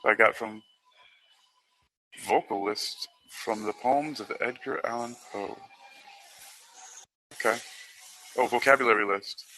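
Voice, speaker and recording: A man hosting an informal explanatory talk, speaking in a halting, rambling way and often repeating himself. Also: medium pitch, pushed and bright, a little hiss or hum, poor audio. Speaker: host presenting a literary certification program.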